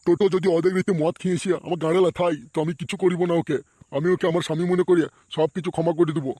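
A man's voice: fairly high pitch, flat delivery, rapid-fire, warm tone, no room sound, clean studio sound, lightly acted. A man voicing a bossy, blustering village man in a comedy cartoon, with crude speech. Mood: officious. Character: bossy, foul-mouthed village man at a wedding. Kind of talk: amateur comedy cartoon voice acting.

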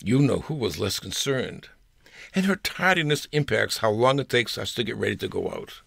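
Older man reading his story, gravelly voice. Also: medium pitch, lively intonation, moderate pace, a bit bright, dry recording, studio quality. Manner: wry storyteller with playful voices. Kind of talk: humorous personal essay reading.

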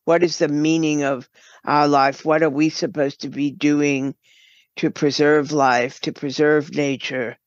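An older woman speaks slowly and thoughtfully, pausing as she explains. She is a guest in an interview, and her voice comes through dry but somewhat dull and lo-fi. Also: low-pitched, flat delivery, no echo. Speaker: guest, writer and producer. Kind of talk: reflective interview talk.

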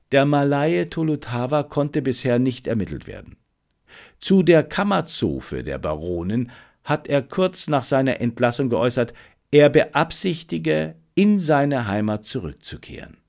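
A man reading a detective novel as an audiobook, in a measured, expressive storytelling manner. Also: medium pitch, gravelly. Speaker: audiobook narrator. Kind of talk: scripted dramatic narration of a detective novel.

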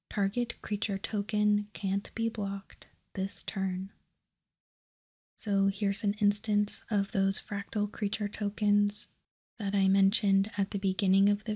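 A woman narrates in a soft, breathy ASMR whisper. Her delivery is very even and unhurried, with a mellow, relaxed tone. She sounds like a host calmly presenting items on a tabletop. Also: medium pitch. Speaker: ASMR card game video host. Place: indoors, tabletop card showcase.

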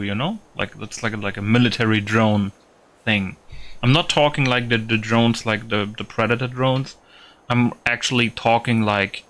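A man giving casual gameplay commentary, thinking aloud in a halting, repetitive way. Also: on the low side, a bit bright. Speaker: gaming video host.

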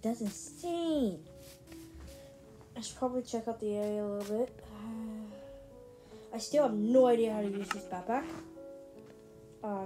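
A woman's voice: on the high side, low-quality recording. A woman giving casual let's play commentary, rambling and thinking aloud to her viewers. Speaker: gaming video host. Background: background music.

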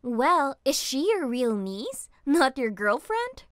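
A woman's voice: high-pitched, sing-song, slow pace, dry recording, studio quality, heavily acted. A woman playing an admiring, chatty young office colleague in a story, gushing and inquisitive. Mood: curious. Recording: music behind the voice.

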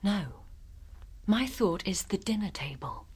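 An actress with a British accent playing a clever, polite young undercover secretary in a radio drama. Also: female voice, mid-range voice, lively intonation, a little slow, a little mellow, breathy edge, no room sound. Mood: hushed, conspiratorial.

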